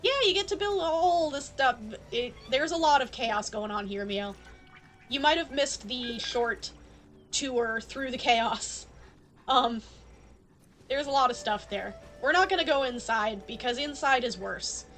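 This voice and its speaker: A woman's voice: sits high, lively intonation, unhurried, a bit bright. A woman live-streaming a game, chatting casually and playfully and speaking straight to her viewers. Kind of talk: casual chat to stream viewers during gameplay. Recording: background music behind voice. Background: music.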